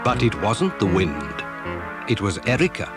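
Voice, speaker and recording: A man's voice: fairly low pitch, sing-song, moderate pace. A man narrating a children's story as dramatic scripted storytelling. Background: music.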